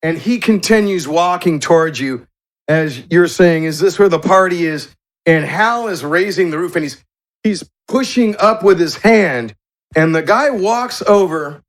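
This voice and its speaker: A man narrating a tabletop game as game master, in halting, descriptive storytelling. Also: high voice. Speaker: game master and show host.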